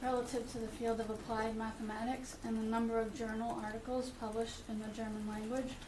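Mom reading a letter at a meeting, kinda high and flat, echoey room from far off with noise. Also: female voice, fairly quick. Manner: steady, careful reading with occasional fillers. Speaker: parent speaking at public comment. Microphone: far room camera. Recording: echoey room, distant camera, background noise.

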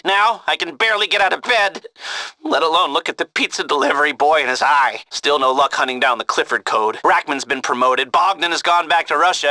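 A man's voice: on the high side, pushed and bright, no room sound. A man playing a jittery, wisecracking heist organiser in a video game, talking in sardonic, rambling, hesitant asides. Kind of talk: video game mission dialogue.

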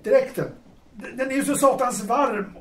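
A man's voice: very high voice, a bit bright. A man playing a fretful, harried stage actor in an audio drama, fussy and stammering. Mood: irritated, complaining. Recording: echoey room with faint noise.

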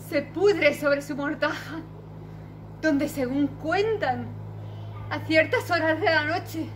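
A woman's voice: sits high, fairly bright tone, slight background noise. A woman playing a frightened young noblewoman in a declamatory classical stage monologue, full of dramatic questioning. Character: frightened young noblewoman agonizing over dark fears. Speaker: amateur stage actress.

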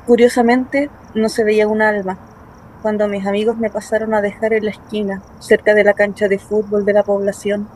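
A woman's voice: mid-range voice, little pitch movement, moderate pace, darkish tone, a little hiss or hum, lightly acted, poor audio. A woman playing a young working-class Chilean daughter in a dramatic first-person radio play. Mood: haunted, tense. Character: young working-class daughter recounting her assault, wounded, bitter. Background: music.

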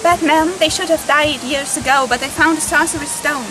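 High-voiced solemn forest spirit played by a young woman. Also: normal speed, over music, close to an everyday voice, poor audio. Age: young adult. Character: calm, solemn female forest spirit protecting all creatures. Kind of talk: amateur fairytale film acting. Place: outdoors in woodland.

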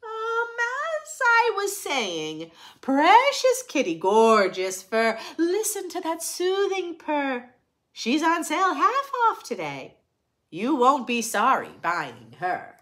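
A woman's voice: high voice, huge pitch swings, takes it slowly, fairly bright tone, exaggerated voice. A woman voicing a theatrical, pushy female keeper of a magic pet shop in a picture book, with dramatic, coaxing patter.

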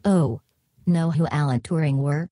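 A woman playing an old therapist chatbot who answers flatly and turns every question back on the asker. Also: sits low, unhurried, no room sound, studio quality. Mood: deadpan. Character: literal-minded early therapist chatbot, female.

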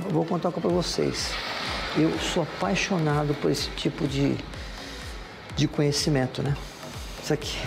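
A man's voice: fairly high pitch, creaky. A Brazilian man in his sixties, hosting a travel vlog with effusive, exclamatory narration, calling out to viewers as he tours a museum crypt and a city street. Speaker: TV host on a travel segment.

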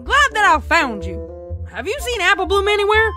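A woman voicing a busy grown farm mare, a big sister, who speaks briskly and matter-of-factly. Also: extremely high pitch, wildly swooping pitch, bright, forceful tone, faint music behind, voice pushed down, full character voice.

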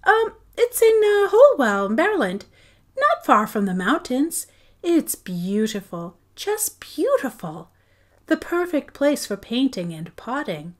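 A woman's voice: high-pitched, big pitch swings, takes it slowly, voice pushed up, full character voice. A woman voicing a bubbly dreamy mother. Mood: coaxing. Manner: bright, coaxing, enthusiastic.

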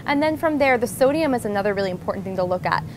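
A woman's voice: mid-range voice, animated, racing. A woman in her thirties, a nutrition coach, talking the viewer through a grocery store in an upbeat, emphatic way. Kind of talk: instructional presentation. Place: large grocery store, indoors. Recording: store noise in the background.

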